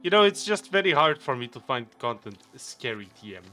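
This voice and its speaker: A man's voice: mid-range voice, sing-song, fairly quick, sharp tone, faint music behind. A man with a Portuguese accent, casually chatting with viewers on a game live stream, rambling and chuckling often.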